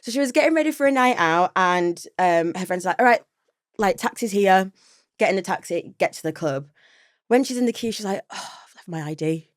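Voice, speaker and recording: A young woman with a Yorkshire accent, a podcast guest telling a spooky story in lively, casual chat with frequent laughs. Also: mid-range voice, a little fast, no room sound, studio quality. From Yorkshire, England. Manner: animated, laughs and gasps often. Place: podcast studio. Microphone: studio microphone.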